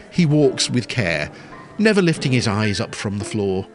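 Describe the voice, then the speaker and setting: A man narrating a comic story in a wry, scripted style. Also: fairly low pitch.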